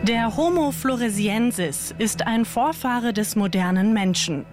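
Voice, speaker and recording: A woman reading short science news items in a scripted, even delivery. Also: on the high side, a little slow. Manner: even, read-aloud delivery. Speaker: quiz question narrator. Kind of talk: scripted news-style narration. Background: music bed.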